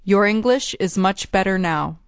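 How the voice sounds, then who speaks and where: Clear woman modeling phrases for learners. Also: medium pitch, normal speed, no echo, studio quality. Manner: careful, evenly enunciated. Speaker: model speaker in a language course. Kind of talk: slow, clear repetition for learners.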